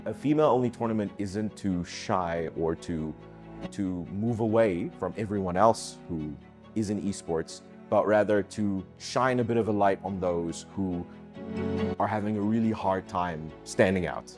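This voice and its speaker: A man's voice: deep voice, unhurried. A man talking in a documentary interview. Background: soft guitar music.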